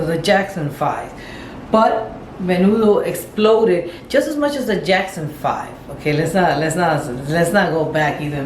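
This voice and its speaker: A woman's voice: sits low, darkish tone. Middle-aged woman rambling in an echoey room. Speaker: vlogger paying homage to a pop singer. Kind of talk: casual rambling commentary. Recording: echoey room with faint background noise.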